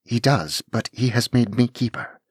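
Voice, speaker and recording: A man's voice: mid-range voice, moderate pace, a little mellow, breathy edge, no echo, studio quality, voice pushed up, close to an everyday voice. A man voicing a young noblewoman who speaks in a formal, polite and firm manner. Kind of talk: audiobook character voice.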